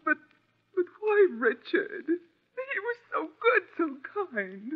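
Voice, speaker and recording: Grieving young widow, fragile, tearful, high swooping voice, tinny radio recording. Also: female voice, big pitch swings, slow pace, heavily acted. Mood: grief-stricken. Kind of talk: old-time radio melodrama.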